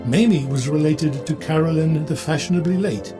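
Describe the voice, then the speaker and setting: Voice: medium pitch, normal speed, natural voice. A narrator reading scripted storybook narration for an audio drama. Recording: music under the voice.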